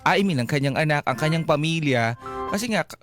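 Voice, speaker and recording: Higher-voiced Filipino male radio host. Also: lively intonation, fast. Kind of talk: conversational radio hosting. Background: background music.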